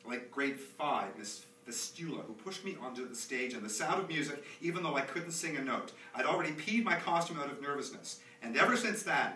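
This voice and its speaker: A man's voice: on the high side, lively intonation, a little fast, few pauses, bright, forceful tone. A man performing a theatrical monologue as an anxious, chatty, nostalgic young dad, rambling expressively in a live reading. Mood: indignant, exasperated. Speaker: author performing own monologue. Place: small theatre stage, recorded live from a distance. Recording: roomy, distant, noisy live theatre recording.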